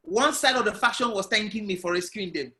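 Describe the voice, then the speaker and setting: A man talks through his entrepreneurial journey in an online interview. His voice sits high and bright, and he tells his story loudly and with animation, as heard through a video call. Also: animated, a little fast. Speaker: guest entrepreneur.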